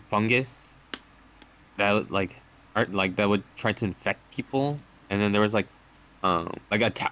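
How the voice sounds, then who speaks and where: A man co-hosting a casual anime chat, rambling and halting with lots of "like" fillers. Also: on the low side, fairly bright tone, clear, resonant tone, rough recording. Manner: rambling, halting, many likes. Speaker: podcast co-host.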